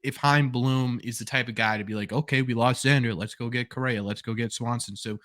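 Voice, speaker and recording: A man's voice: mid-range voice, fairly even intonation, fairly quick, a bit bright, no room sound, studio quality. A man guesting on a sports podcast, speculating thoughtfully about his team's options. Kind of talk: casual sports analysis.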